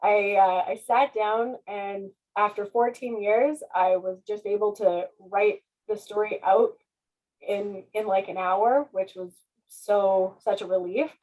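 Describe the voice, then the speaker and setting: A woman's voice: mid-range voice, fairly even intonation, takes it slowly, a little mellow, poor audio. A woman chatting casually as a guest author, effusive and self-deprecating. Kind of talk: casual question-and-answer chat.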